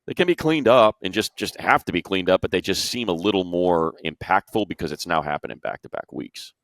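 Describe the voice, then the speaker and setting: Male sports panelist, very deep register, dry close sound, informal emphatic analysis. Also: brisk, fairly bright tone, no room sound. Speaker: sports show panelist and play-by-play announcer.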